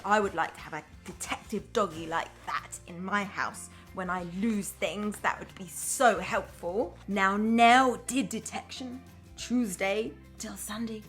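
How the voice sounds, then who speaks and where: A woman primary school teacher reading a story aloud to young children in an enthusiastic, expressive way, chatting to them between lines. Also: medium pitch. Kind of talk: children's storytime read-aloud with asides to the audience.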